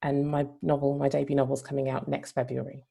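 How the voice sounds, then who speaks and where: A woman writer introduces herself at an online event in a deep voice thick with creak. The tone is dark and mellow. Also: completely flat delivery, fast talker. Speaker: writer on a panel.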